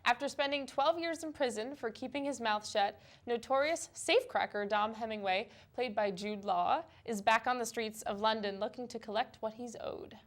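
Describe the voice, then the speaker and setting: A woman's voice: fairly high pitch, normal speed, few pauses. A woman co-anchoring a news segment, switching between scripted reading and casual chat.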